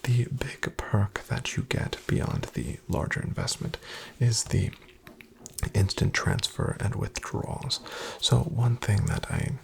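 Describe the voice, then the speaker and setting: A man in a soft, breathy, mostly whispered ASMR roleplay as a financial advisor, speaking with reassuring, salesman-like politeness and hesitant pauses. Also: lowish voice, wildly swooping pitch. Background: computer keyboard typing.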